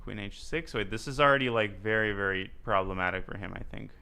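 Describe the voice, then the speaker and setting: A young man streaming a live chess game, commentating as he plays with quick thinking aloud and jokey asides. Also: lowish voice, creaky, sharp tone, clear, resonant tone. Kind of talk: live commentary while playing.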